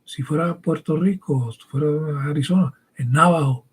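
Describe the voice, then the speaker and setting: A man's voice: fairly high pitch, normal speed, breathy edge. A man raised bilingual in English and Spanish, preaching a didactic, emphatic teaching sermon and often checking in with short rhetorical questions. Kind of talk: teaching sermon with scripture reading.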